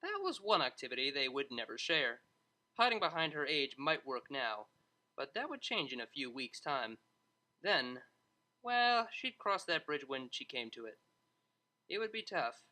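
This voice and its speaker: An expressive storyteller reads scripted fiction with a bright, crisp edge. The pitch sits in a middle register and moves around a lot, keeping the narration animated. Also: a little slow.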